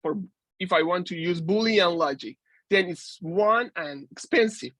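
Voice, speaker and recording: Slow, halting man lecturing. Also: high voice, fairly bright tone, no room sound. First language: Persian. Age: 40s. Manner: halting, with many restarts and fillers. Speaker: guest lecturer. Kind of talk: university lecture.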